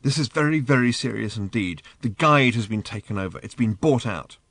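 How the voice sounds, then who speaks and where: A man's voice: mid-range voice, lively intonation, brisk, a bit bright, pitched above the performer's own voice. A man voicing a blunt, impatient alien man who is self-important and talks in clipped, urgent bursts.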